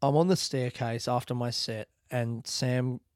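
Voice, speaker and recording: Profane, rambling comedian. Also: male voice, medium pitch, fairly even intonation, a little slow, a little mellow, no room sound, studio quality. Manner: loose, profane and laughing, with many fillers. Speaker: comedian and podcast host. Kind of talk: rambling solo storytelling.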